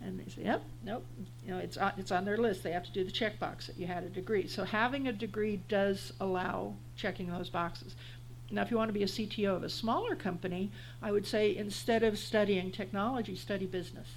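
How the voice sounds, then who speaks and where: A woman's voice: medium pitch, brisk, slight background noise, low-quality recording. A woman in her fifties speaking on a panel, giving blunt, encouraging career advice. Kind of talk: panel discussion.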